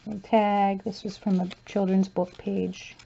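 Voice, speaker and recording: A woman casually talking through a handmade journal she shows page by page, softly and with frequent fillers, her voice going hoarse as she loses it. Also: mid-range voice, unhurried, muffled recording, slight background noise. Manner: soft-spoken, relaxed, with frequent um and uh fillers. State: losing her voice, clearing her throat. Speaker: crafter showing her journal. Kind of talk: casual flip-through commentary.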